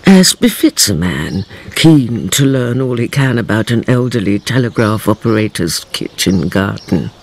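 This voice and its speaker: An actress playing a grave, knowing storyteller, narrating a radio drama with deliberate, theatrical phrasing. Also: female voice, a bass voice, big pitch swings, husky, heavily acted.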